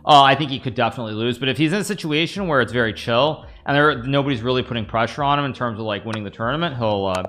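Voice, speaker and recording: A man's voice: mid-range voice, rapid-fire, few pauses, fairly bright tone. An American man in his thirties, streaming a chess game, thinking aloud and answering chat questions in a casual, rambling way. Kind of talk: casual live-stream commentary.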